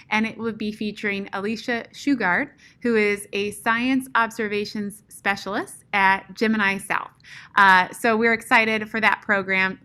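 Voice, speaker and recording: A woman's voice: mid-range voice, bright, forceful tone. A woman hosting an online webinar, giving an upbeat, announcing introduction with "uh" fillers. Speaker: host. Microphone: video call.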